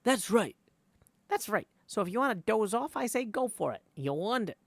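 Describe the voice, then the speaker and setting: Man doing a high, chummy, eager guard. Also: big pitch swings, normal speed, creaky, no echo, full character voice. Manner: breezy, salesman-like.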